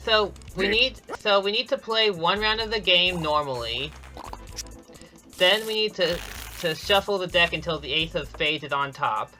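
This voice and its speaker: A man's voice: high voice, lively intonation, moderate pace, pushed and bright, low-quality recording. A man commentating his own speedrun live. He explains each step casually, often restarting sentences, with light chuckles. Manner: explanatory, frequent restarts and self-corrections. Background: game music.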